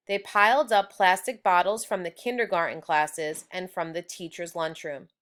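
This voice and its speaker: A woman's voice: mid-range voice, a bit bright. A woman reading a children's storybook aloud as scripted narration. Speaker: storybook reader. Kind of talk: children's book read-aloud.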